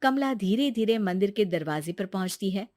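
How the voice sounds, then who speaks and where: A woman's voice: medium pitch, lively intonation, normal speed, darkish tone, no room sound, studio quality. A woman narrating a scripted story as its storyteller.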